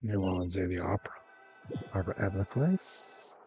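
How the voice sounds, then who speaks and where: Male gameplay commentator, lowish voice, casual reactions over clear background music. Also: moderate pace, warm tone. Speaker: video game streamer.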